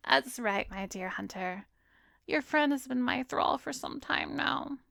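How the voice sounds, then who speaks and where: A woman playing an arrogant, seductive vampiress who hypnotizes in an ASMR roleplay, breathy and commanding, often slipping into a whisper. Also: sits high, expressive, slow, sharp tone, no echo, studio quality, exaggerated voice. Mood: smug, gloating.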